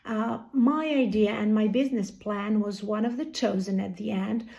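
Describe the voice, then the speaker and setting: A woman's voice: medium pitch, normal speed, gravelly, a little mellow. A woman from Albania, chatting cheerfully in a video testimonial. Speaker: mother and small entrepreneur.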